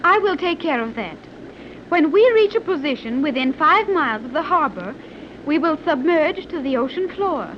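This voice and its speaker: Formal island submarine pilot, old radio. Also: female voice, high-pitched, lively intonation, moderate pace. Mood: calm, matter-of-fact. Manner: formal, precise, stilted phrasing without contractions. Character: coolly confident young woman submarine pilot from hidden advanced island. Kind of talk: 1930s radio serial acting. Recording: dull, noisy old 1930s radio recording.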